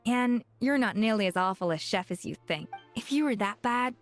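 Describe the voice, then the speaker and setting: Woman voicing a sharp young chef, high lively voice, blunt and fed up, music under it. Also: normal speed, lightly acted. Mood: weary. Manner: blunt, exasperated. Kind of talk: video game voice acting. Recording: game audio with music behind.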